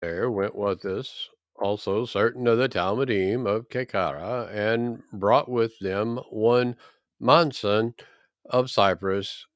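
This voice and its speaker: A man reading scripture aloud in a solemn, measured and emphatic way. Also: mid-range voice, dry recording, studio quality. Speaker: scripture reader.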